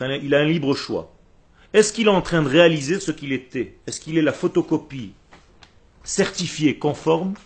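Husky man teaching religion. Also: on the high side. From Israel. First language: Hebrew. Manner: didactic, emphatic, with rhetorical questions and short phrases. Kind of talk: religious lecture to a group.

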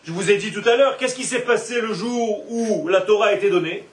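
A man giving an animated Torah class with playful asides, recorded from a distance in a roomy classroom. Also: high voice, a little fast. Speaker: Torah teacher. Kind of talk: religious class lecture. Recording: echoey room, from far off.

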